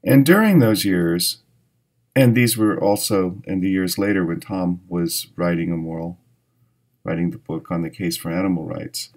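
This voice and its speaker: Male professor, 60s, American accent, low register, measured reminiscing delivery with emphatic openings. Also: a little mellow. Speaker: philosophy professor. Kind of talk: reminiscence to camera. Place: dim indoor hall with empty seats.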